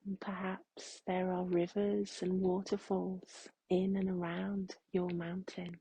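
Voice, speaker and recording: Female meditation guide: very breathy, mellow, very slow and gentle, close dry sound. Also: on the low side, monotone, no echo, low-quality recording. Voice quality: soft, breathy, hushed. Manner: gentle, unhurried, with long pauses.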